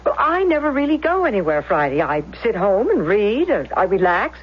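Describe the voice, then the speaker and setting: An actress playing a troubled, suspicious wife, hesitant and probing, in a 1970s radio drama. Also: female voice, mid-range voice, big pitch swings, moderate pace, husky. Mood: tense, accusing. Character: troubled, suspicious wife confronting her husband. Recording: muffled old radio recording.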